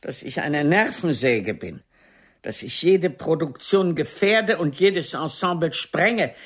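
An actress playing an aging, proud and wounded stage diva in a German radio play, with a deliberate, cutting, theatrical delivery. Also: female voice, fairly low pitch, fairly bright tone, exaggerated voice.

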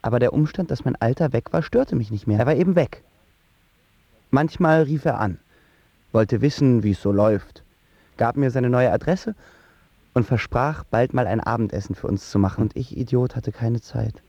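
An actor playing a moody, lovestruck young would-be writer, narrating in youthful, sometimes poetic words in a radio drama. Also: male voice, mid-range voice, normal speed, vocal fry, mellow, slightly rough, no echo. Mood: brooding. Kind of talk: scripted first-person narration in a German radio drama. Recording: slightly dull sound with music underneath. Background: prominent music.

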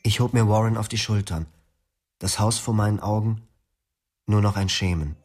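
A man narrating his memories in the first person, reflective and quiet. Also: fairly low pitch, moderate pace. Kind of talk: scripted memoir narration. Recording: music behind.

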